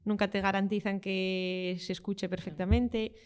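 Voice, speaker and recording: A young woman, a football translator and guest, answering interview questions in a relaxed, explanatory way, with fillers as she thinks. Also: mid-range voice, measured pace, clean tone. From Spain.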